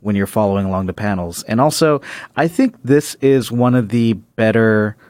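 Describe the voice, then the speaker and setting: A man's voice: fairly low pitch, normal speed, a little mellow, no echo. A man co-hosting a podcast in casual, analytical conversation. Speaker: podcast co-host.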